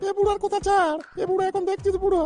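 A performer voicing a brash, bossy old village grandmother in an amateur comedy cartoon, with a pitched-up voice. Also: level tone, very fast, warm tone, faint music behind. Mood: boastful. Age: older. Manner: crude, boastful, insistent. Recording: faint background noise.

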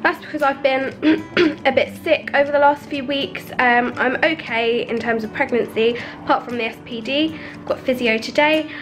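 Young female vlogger, congested cold voice, high-pitched and chatty, faint music underneath. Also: moderate pace, fairly bright tone. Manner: chatty and upbeat, with frequent ums and small laughs. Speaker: lifestyle vlogger. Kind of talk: casual haul vlog to camera. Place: small flat, indoors at a table. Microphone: camera mic at close range.

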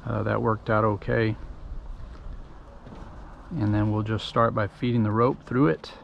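A middle-aged man with a Texan accent, giving a relaxed, folksy step-by-step how-to while working at a pickup truck tailgate outdoors, with frequent ums and uhs. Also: on the low side, measured pace, vocal fry, lo-fi sound. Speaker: DIY tutorial host. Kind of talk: casual step-by-step how-to narration. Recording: noisy outdoor camera audio.

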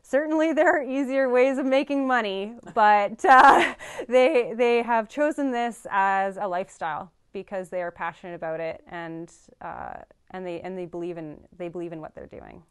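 Smooth-voiced woman vet, earnest. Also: medium pitch, normal speed, few pauses. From Canada. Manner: earnest, thoughtful, many ums and restarts. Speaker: farm veterinarian. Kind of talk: interview answers. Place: studio with dark backdrop.

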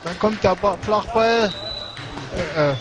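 A man with a regional German dialect giving relaxed, chatty live commentary on a match, with many fillers and short exclamations. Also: high-pitched, measured pace, music in the background. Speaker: sports commentator. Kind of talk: casual live commentary on a cycle-ball match. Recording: noisy sports hall with crowd ambience.

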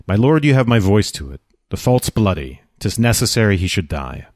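A grave, formal figure from Shakespeare, read by a man. The voice is fairly low and closely recorded with no room sound, and the verse comes out measured. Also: very animated, darkish tone, no room sound, clean studio sound. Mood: stern. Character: grave, measured male servant or stern senator. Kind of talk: unrehearsed Shakespeare play reading.

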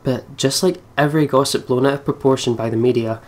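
A man's voice: medium pitch, steady tone, fast, darkish tone. Man reading a story aloud. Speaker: let's play co-host reading aloud. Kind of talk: expressive read-aloud of visual novel narration.

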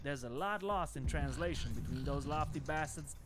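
A man's voice: fairly high pitch, moderate pace, natural voice. An actor playing a cocky, streetwise male vampire gangster in a video game, blunt, slangy and sardonic. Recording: music behind the voice.

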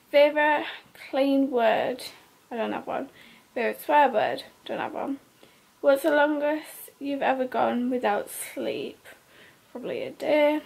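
A woman's voice: gravelly, rough recording. An eighteen-year-old woman from the of England, lying in bed and giving short, sleepy answers to a list of questions. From North East England. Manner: sleepy, low-key, short flat answers. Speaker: vlogger. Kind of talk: casual Q&A vlog.

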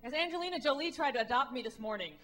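A woman in her thirties, from the Midwest, doing a wry, animated stand-up set on a club stage with a handheld mic and acting out the voices of people she quotes. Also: high voice, racing, very noisy. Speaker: stand-up comedian. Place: comedy club stage, indoors.

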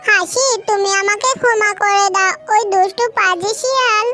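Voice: extremely high pitch, moderate pace. A performer voicing a helpful, gullible frog in a children's cartoon, with a childlike delivery. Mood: apologetic. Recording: music bed behind the voice.

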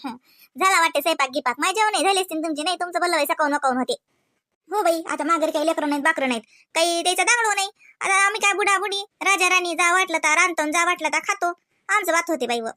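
A woman's voice: very high-pitched, quick pace, bright, forceful tone, no echo, studio quality. A woman voicing a proud, grumbling elderly village mother-in-law in a cartoon, complaining colloquially with a Vidarbha Marathi accent. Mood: weary grumbling. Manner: colloquial, complaining, rhetorical questions. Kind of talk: cartoon comedy dialogue.

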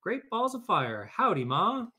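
A man voicing excitable, needy baby farm birds with pleading, repetitive calls in a storybook reading. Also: high voice, unhurried, a little hiss or hum, voice pushed up, heavily acted. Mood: excited greeting.

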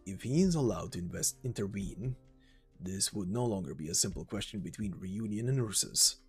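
A man voicing a stern, weary mercenary warrior who cautions gravely in an amateur reading of a game story. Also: on the low side, lively intonation, normal speed, darkish tone, faint music behind. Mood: grave, businesslike.

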